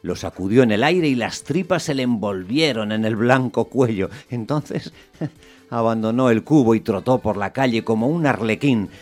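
An actor narrating a radio play as a measured storyteller, in a deliberate, literary, descriptive way. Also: male voice, fairly low pitch. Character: measured male storyteller, wryly observing a small dog.

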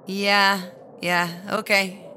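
A woman playing a weary, drugged-up long-term prisoner in a comedy audio drama, groggy and spaced-out with a gravelly creak. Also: mid-range voice, flat delivery, extremely slow, sharp tone, a little hiss or hum, rough recording.

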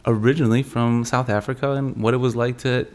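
A man's voice: mid-range voice, steady tone, fast talker, a little mellow. A man hosting a podcast interview, friendly and upbeat, laughing often with his guest. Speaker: podcast host.

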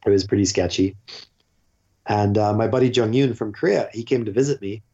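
A man's voice: on the low side, brisk, dark tone, breathy edge, lo-fi sound. A Canadian man in his forties, a teacher and podcast guest, telling stories in loose casual chat with lots of fillers and restarts. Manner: rambling, self-interrupting, with frequent fillers and restarts. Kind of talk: casual conversational storytelling.

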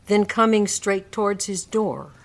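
A woman's voice: medium pitch, measured pace. A woman narrator reading a classic story aloud as dramatic storybook narration for a radio play.

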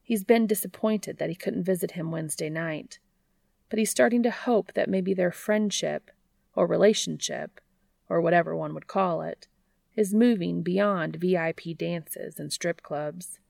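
A woman reading scripted fiction aloud as a story narrator, with a creaky voice. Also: on the low side, a little slow, darkish tone, no room sound, clean studio sound. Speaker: audiobook narrator of fan fiction.

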